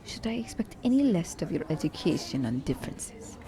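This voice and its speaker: A woman's voice: fairly low pitch, very animated, a little fast, heavy background noise. A woman playing a poised, teasing female assassin in an ASMR romance roleplay, speaking formally and condescendingly to her captive werewolf. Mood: calm, explaining. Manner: formal, slightly stilted wording, teasing. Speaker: voice actor.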